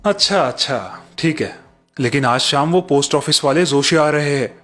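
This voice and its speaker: Middle-aged father, played by a man, slightly high pitch, sensible, chiding, cartoon, background music. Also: normal speed, fairly bright tone, lightly acted. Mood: chiding, practical. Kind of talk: Hindi story cartoon dubbing.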